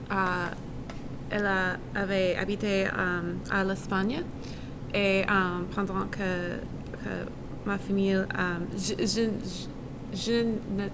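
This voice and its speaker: A woman's voice: medium pitch, noisy background. A young woman in a class discussion, stammering through restarts and fillers. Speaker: student. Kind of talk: classroom discussion in a second language.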